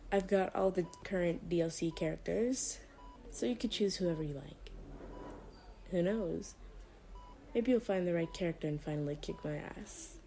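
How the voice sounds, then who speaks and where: A woman acts out a female doctor with two sides, tender and quietly menacing. Her voice is mellow and somewhat low, delivered slowly and intimately in hushed ASMR roleplay style. She sounds sweetly condescending and in control. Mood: playful, affectionate. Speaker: voice performer.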